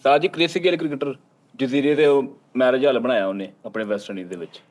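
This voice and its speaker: Male panellist, rapid delivery, mid-range pitch, chatty and quick to chip in during casual banter. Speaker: panel member on a comedy talk show.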